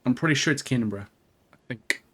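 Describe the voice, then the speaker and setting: Guy doing a lets play, mid pitch voice, rambly n jokey, bit sweary. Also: fast, background noise. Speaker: video game streamer. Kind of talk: casual co-op let's play chat.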